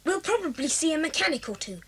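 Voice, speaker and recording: A woman voicing a sensible, bossy young boy, brisk and authoritative, in a children's audio drama. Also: big pitch swings, fairly bright tone, full character voice. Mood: sensible, decided. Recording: noisy cassette, vehicle rumble.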